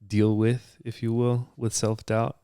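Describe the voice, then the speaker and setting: A man in casual podcast chat, relaxed, mostly backing up his co-host with short agreeing words before wrapping up. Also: medium pitch, a little slow, smooth, no echo, studio quality. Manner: relaxed, brief agreeing interjections.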